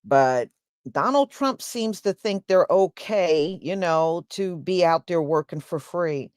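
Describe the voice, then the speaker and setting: A woman's voice: on the low side, animated, slow, a little mellow, no room sound, clean studio sound. An American woman giving casual opinion commentary, talking colloquially and emphatically straight to her viewers. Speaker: host commentator.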